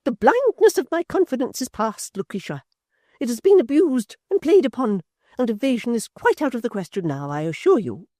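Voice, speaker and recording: A woman reading an audiobook, voicing a haughty, indignant middle-aged lady with a shrill, theatrical, slowly emphatic scorn. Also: sing-song, mellow, dry recording, studio quality. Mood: scornful. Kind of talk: audiobook character voice.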